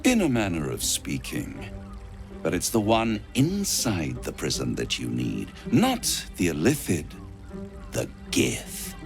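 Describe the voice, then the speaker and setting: An actor playing a suave, scheming devil in a video game, speaking with theatrical, silky deliberation. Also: male voice, sits low, wildly swooping pitch, takes it slowly, vocal fry, soft background music, heavily acted. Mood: grave, persuasive. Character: suave, scheming male devil offering bargains. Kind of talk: video game cutscene acting.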